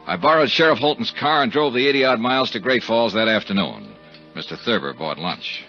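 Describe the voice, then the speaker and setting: An actor playing a shrewd, dogged American investigator who questions and narrates in a clipped way in a 1950s radio detective drama. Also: male voice, medium pitch, lively intonation, a little fast, sharp tone, soft background music. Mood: businesslike narration. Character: shrewd, dogged male insurance investigator narrating his case. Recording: muffled 1950s radio recording.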